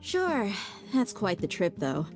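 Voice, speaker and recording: A woman's voice: medium pitch, big pitch swings, slow. An actress voicing a confident, protective female mercenary bodyguard in a video game, wry and laid-back with a teasing edge. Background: game soundtrack music.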